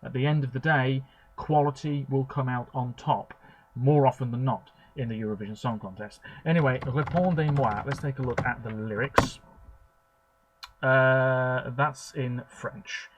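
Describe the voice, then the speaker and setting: A man's voice: mid-range voice, measured pace, a little hiss or hum, low-quality recording. Chatty man rambling through his opinions. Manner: chatty, opinionated, with frequent fillers. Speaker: video blogger. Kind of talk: rambling commentary.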